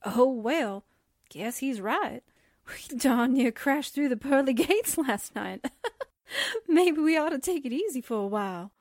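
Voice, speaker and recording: A woman playing a plucky, slangy young sidekick reporter in a 1940s-style radio drama, breezy and expressive. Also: high-pitched, a little slow, dry recording, clean studio sound, heavily acted.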